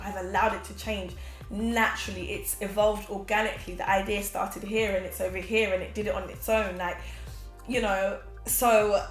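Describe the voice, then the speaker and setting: A woman in her twenties giving casual, energetic motivational talk to a camera as host, seated in a small room. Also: medium pitch. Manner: energetic, expressive, gestures a lot, laughs often. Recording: roomy echo with faint background music.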